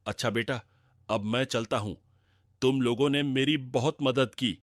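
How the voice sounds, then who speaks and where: A man playing a kindly, frail old wandering holy man in a cartoon moral story, speaking gently. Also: mid-range voice, measured pace, dry recording, studio quality, lightly acted. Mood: kindly, blessing.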